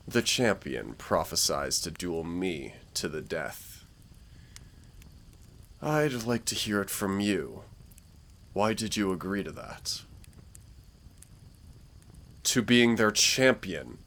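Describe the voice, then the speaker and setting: A man playing a menacing, smooth-talking dark warlord in a roleplay audio drama, theatrical, deliberate and condescending in his persuasion. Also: low-pitched, slight background noise, exaggerated voice. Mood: coolly probing. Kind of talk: scripted roleplay audio drama, villain monologue.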